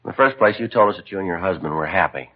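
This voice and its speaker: Brief: dry, polite American police detective, low pitch, rapid clipped delivery, vintage radio crime drama. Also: male voice. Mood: methodical, pressing. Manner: deadpan, matter-of-fact, clipped. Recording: dull, muffled 1950s radio recording.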